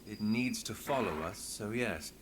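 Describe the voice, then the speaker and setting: A man's voice: on the low side, animated, unhurried, noisy background. Guarded, dry-voiced male inspector.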